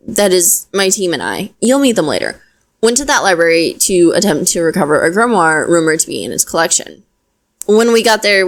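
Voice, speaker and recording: A woman playing a caring, frank witch in an audio roleplay, speaking to the listener in a gentle, conversational way. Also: lowish voice. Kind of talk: intimate audio roleplay acting, addressing listener.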